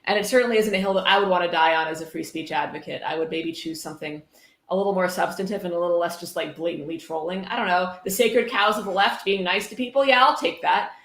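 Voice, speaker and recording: A woman's voice: on the low side, fast, a bit bright. A woman hosting a live-streamed reading, commenting casually in a wry, sardonic way with some swearing. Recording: roomy, echoey sound.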